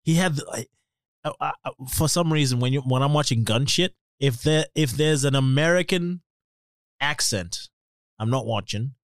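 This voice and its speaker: A man's voice: medium pitch, moderate pace, no echo, studio quality. A man co-hosting a podcast in casual chat, rambling, swearing and laughing often. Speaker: podcast co-host. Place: podcast studio.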